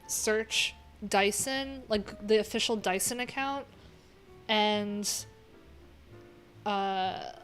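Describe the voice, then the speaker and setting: Middle aged lady streamer thinking out loud, kinda high voice, rambly n candid, music playing behind her. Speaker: livestream host, developer. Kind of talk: casual livestream chat while browsing. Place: small cluttered room indoors.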